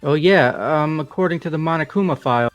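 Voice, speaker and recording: A man's voice: a little high, brisk, muffled recording, faint music behind, close to an everyday voice. A man voicing a casual, blunt, sarcastic young man in a fan-voiced game. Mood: curious. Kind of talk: fan-voiced visual novel game acting.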